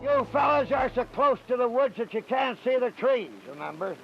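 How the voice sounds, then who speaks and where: A man's voice: extremely high pitch, expressive, normal speed, gravelly, muffled recording, soft background music, full character voice. A man playing a fiery Marine colonel in a radio play, giving a blunt, folksy, emphatic speech to protesting veterans. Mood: rousing, defiant.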